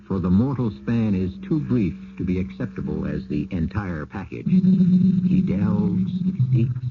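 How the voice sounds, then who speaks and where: A man narrating a scripted radio mystery drama as host, in a measured, philosophical, theatrical way. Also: fairly low pitch. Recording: muffled, noisy old radio recording.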